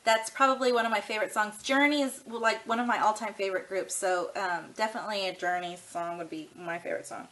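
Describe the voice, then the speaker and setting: A woman's voice: medium pitch, steady tone, fast, few pauses, a bit bright, rough recording. A woman in her thirties chatting casually to camera for a tag video, rambling and frank with lots of ums and you-knows. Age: 30s. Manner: easygoing and frank. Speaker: vlogger doing a tag video.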